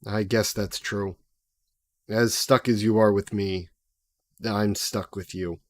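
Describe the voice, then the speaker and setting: Male actor as ancient demon, sassy and possessive, low voice, very unhurried, formal sardonic phrasing, audio roleplay. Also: fairly even intonation, no room sound. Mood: sardonic, coaxing. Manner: haughty, sardonic, formal wording. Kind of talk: scripted audio roleplay addressed to the listener.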